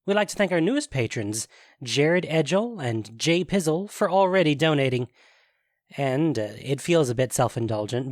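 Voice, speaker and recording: A man's voice: on the high side, no echo, clean studio sound. A man hosting and narrating a scripted fantasy audio story. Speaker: narrator and podcast host.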